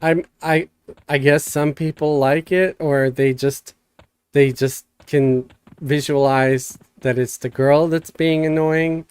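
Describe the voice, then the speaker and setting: Man, soft-spoken streamer, smooth resonant voice, dry close sound. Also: on the high side, steady tone, slow, no room sound. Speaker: streamer host. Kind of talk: casual chat.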